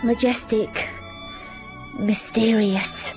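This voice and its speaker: A woman's voice: fairly high pitch, fairly even intonation, drawn-out words. An actress playing a plain, self-critical young governess who narrates her own story in a radio drama. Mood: reflective. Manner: introspective, self-mocking. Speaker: narrator and heroine. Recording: music under the voice. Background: music.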